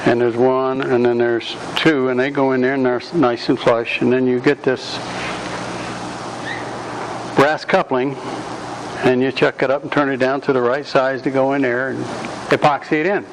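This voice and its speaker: A man's voice: mid-range voice, moderate pace, pauses often. Guy hollering through a hands-on demo, easygoing and rambly, noisy room recording. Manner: loud, projecting without a speaker system; relaxed, rambling with frequent ums. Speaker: woodturning demonstrator. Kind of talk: live workshop demonstration.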